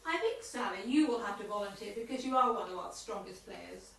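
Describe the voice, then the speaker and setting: A woman's voice: mid-range voice, animated, a little fast, vocal fry, a bit bright. A woman playing a prim, bossy, officious tennis club chair in an amateur comedy radio play. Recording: roomy, echoey, distant home recording.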